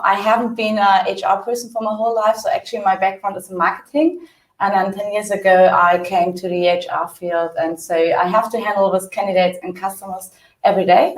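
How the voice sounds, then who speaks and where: A woman with a Bavarian German accent, a native German speaker, introducing herself as a panel guest. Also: mid-range voice. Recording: remote, roomy and distant.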